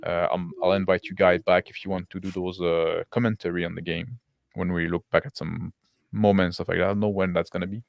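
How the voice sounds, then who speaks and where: A man's voice: sits low, flat delivery, a little fast, gravelly. A man hosting a roleplaying stream as game master, chatting casually and rephrasing as he goes. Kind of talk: casual unscripted post-game chat.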